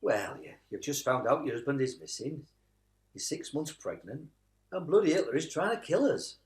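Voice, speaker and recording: A man's voice: mid-range voice, animated, moderate pace, husky. A man playing a blunt, affectionate working-class British father in a wartime radio play. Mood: gently reassuring.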